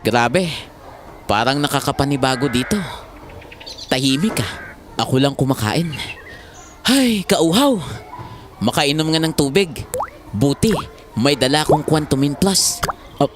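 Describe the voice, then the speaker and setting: A man's voice: a little high, expressive, measured pace, long pauses, music in the background. An actor playing a good-natured, easily flustered young male university student in a radio drama, with a Filipino accent. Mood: musing.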